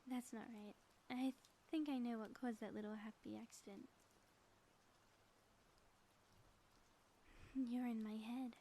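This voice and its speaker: A high, mellow female voice acted out by a woman plays a sappy yet theatrical ex-villain wife in an ASMR roleplay. She is breathy and teasing, drifting toward whispers, with faint noise behind her. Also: steady tone, moderate pace, natural voice, poor audio. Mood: tender, flirtatious. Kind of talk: intimate ASMR audio roleplay.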